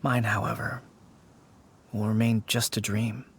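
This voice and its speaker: A man's voice: fairly low pitch, flat delivery, a little slow, a bit bright, slightly rough. An actor playing a weary, grieving young man who speaks earnestly and wistfully. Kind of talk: game cutscene voice acting.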